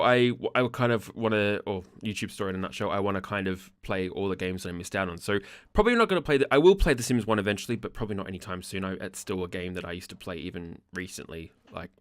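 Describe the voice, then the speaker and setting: A young man with a croaky, gravelly voice from a sore throat, rambling casually with lots of ums and laughs over a game build. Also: deep voice, fast, fairly bright tone, no echo, clean studio sound. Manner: rambling, self-interrupting, frequent ums and laughs. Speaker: gaming YouTuber.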